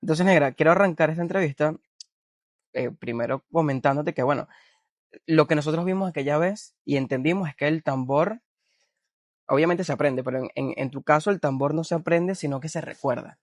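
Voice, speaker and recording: A man's voice: a little high, a little fast, dry recording. Eager man interviewing, dry sound. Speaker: interviewer, host. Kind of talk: interview conversation.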